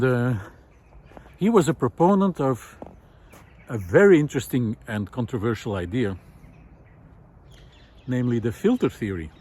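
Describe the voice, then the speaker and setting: A man giving an informal, reflective solo talk in a thoughtful, halting way, pausing deliberately for emphasis. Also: medium pitch, breathy edge. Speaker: host of a personal audio series.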